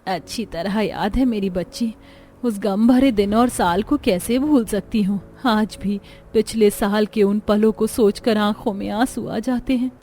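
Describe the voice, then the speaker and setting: A woman's voice: mid-range voice, unhurried, dark tone, a little hiss or hum, lightly acted. A woman voicing a poor village mother in a cartoon, sorrowful but resolute, earnest and tender. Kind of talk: Hindi cartoon dialogue.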